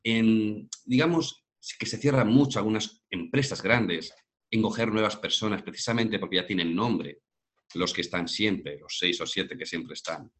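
Deep-voiced man from Madrid. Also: moderate pace, a bit bright. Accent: Castilian Spanish from Madrid. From Madrid, Spain. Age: around 40. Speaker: interview guest, professional voice-over artist. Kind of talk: conversational interview answers.

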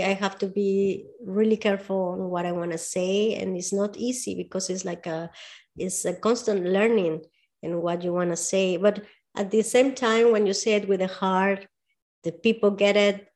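A woman with a Spanish accent speaks English as a second language in a relaxed interview. She talks about her work as a movement coach in an earnest, thoughtful way, often stopping to find the right word. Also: medium pitch, normal speed. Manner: searching for words, thoughtful, earnest. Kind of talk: casual interview talk.

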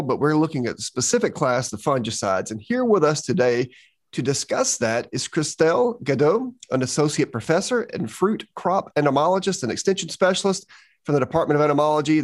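A man's voice: a little high, no room sound, clean studio sound. A man hosting an interview podcast, upbeat and enthusiastic. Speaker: podcast host.

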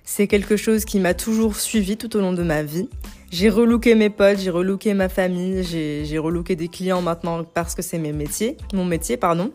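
A woman's voice: lowish voice, normal speed, darkish tone, faint music behind. A young woman from Algeria hosting a casual self-help podcast, talking informally in youthful slang sprinkled with English loanwords. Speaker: podcast host and image consultant.

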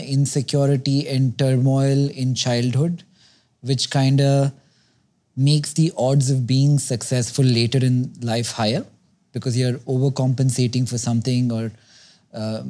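Male podcast host, mellow mid-pitched voice, curious probing interviewer. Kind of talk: conversational interviewing.

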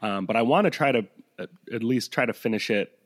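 A man's voice: medium pitch, fairly quick. A young man co-hosting a casual podcast, playful and jokey, still sounding sleepy in the morning. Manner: playful, jokey, does a mock radio announcer bit. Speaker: podcast co-host.

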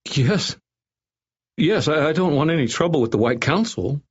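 Man voicing a high-pitched, terrified, cringing doctor. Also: wildly swooping pitch, takes it slowly, fairly bright tone, highs cut off, full character voice. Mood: meek. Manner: stammering, pleading, wailing. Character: terrified, cringing, vain middle-aged male doctor. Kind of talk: audiobook character voice.

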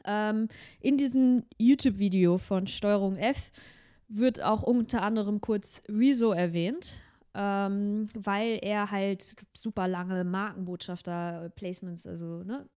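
A woman's voice: medium pitch, fairly even intonation, a little slow, warm tone, clean tone. A young woman co-hosting a podcast in casual chat, rambling with lots of fillers and restarts. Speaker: podcast co-host.

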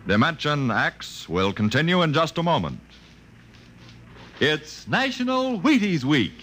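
A man's voice: on the high side, huge pitch swings, measured pace, noisy background. A man, the show's announcer, reading a cheerful radio commercial. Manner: jovial, joking.

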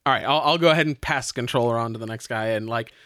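A man's voice: medium pitch, racing, a bit bright, dry recording. A man playing a tabletop role-playing game, chatting and improvising in an informal, rambling way. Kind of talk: casual improvised role-play chat.

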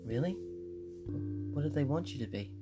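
A timid young child in a spooky story , acted out by a man, pitched well above the narrator's usual voice. The words come hesitantly, with stammers and curious questions. Loud plucked-string music plays underneath. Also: lively intonation, moderate pace, close to an everyday voice. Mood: curious, shy.

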